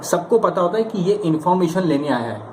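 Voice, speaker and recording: A man's voice: high-pitched, expressive, fairly quick. An Indian man giving an informal motivational lesson as a coach, in a conversational, emphatic and coaxing manner. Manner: conversational, emphatic, coaxing, with rhetorical questions and acted-out examples. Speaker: stammering coach giving practice advice. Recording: echoey room with clear background noise.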